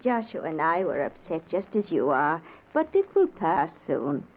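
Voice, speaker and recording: An actress playing a gentle, consoling dead wife who patiently soothes a newcomer in a 1940s radio drama. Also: female voice, sits low, big pitch swings, a little slow. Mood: soothing. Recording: muffled, noisy old radio recording.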